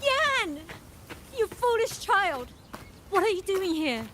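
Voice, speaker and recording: A woman's voice: very high-pitched, sing-song, slow, pushed and bright, slight background noise, full character voice. A woman playing an anxious, scolding elder sister in a video game, urgent and pleading. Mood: alarmed scolding. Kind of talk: scripted game dialogue.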